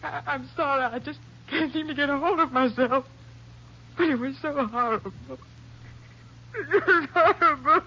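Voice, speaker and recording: An American actress playing a distraught, earnest nurse in a 1950s radio drama, speaking in a halting, emotional way. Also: female voice, high voice, sharp tone. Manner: tremulous, halting, emotional delivery. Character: distraught, shaken female nurse, devoted and earnest. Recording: muffled, noisy 1950s radio recording.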